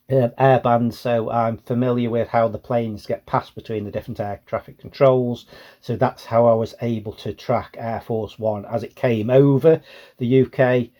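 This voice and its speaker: Mellow British man explaining casually. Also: medium pitch, highs cut off. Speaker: radio hobbyist presenter.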